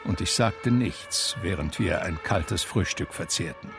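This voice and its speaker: An actor playing a reflective brother narrating a radio drama in a dry, measured, literary way. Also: male voice, deep voice, creaky, music in the background. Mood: wry, reflective. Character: wry, reflective brother recalling his eccentric household.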